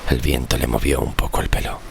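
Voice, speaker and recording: An actor from playing a haunted, melancholy shipwrecked sailor who tells his tale in a literary horror audio drama, slow and solemn. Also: a bass voice, flat delivery, gravelly, noisy background. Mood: brooding, melancholy. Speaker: narrator and lead character. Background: music under the voice.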